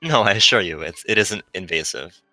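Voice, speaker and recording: A man voicing a curious, gentle, non-corporeal female companion in a visual novel reading. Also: sits low, lively intonation, fairly quick, no room sound, full character voice, low-quality recording. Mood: gentle, reassuring. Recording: music behind the voice. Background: music.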